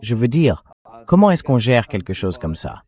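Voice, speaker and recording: A man's voice: mid-range voice, very animated, normal speed, a little mellow, husky. A man delivering blunt, argumentative political commentary in a dubbed, conversational style.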